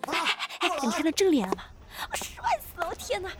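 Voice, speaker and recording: A woman playing an excitable young film-crew member in an audio drama, gushing and squealing like a fan. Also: extremely high pitch, fast. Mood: thrilled. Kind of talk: Chinese audio drama acting. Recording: music behind the voice.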